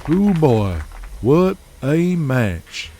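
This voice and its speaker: A man voicing an excitable, candid backstage wrestling man with slangy, animated talk in an audio drama. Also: extremely slow, heavily acted. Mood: impressed, defensive. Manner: slangy, animated, high-pitched. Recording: loud music behind.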